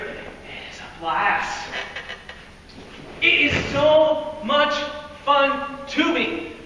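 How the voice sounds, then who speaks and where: Teen boy youth speaker, very high, loud and energetic, far from the mic in a reverberant hall. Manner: loud, energetic, laughs often. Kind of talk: lively devotional talk to a group. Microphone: no microphone. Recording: echoey hall, far from mic, faint noise.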